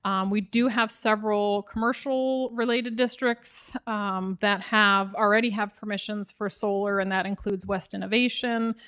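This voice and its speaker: A woman official with a Midwestern American accent lays out local regulations for residents at a public meeting. She speaks in a mid-range voice, explaining step by step and often pausing on "um" or restarting a phrase. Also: mid-range voice, fairly even intonation, a bit bright, clean tone. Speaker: city planning official.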